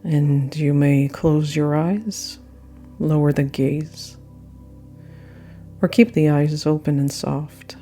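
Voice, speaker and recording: A woman guiding a yoga nidra meditation with gentle, unhurried phrasing and frequent pauses. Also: low-pitched, steady tone. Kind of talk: guided meditation narration. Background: soft meditation music.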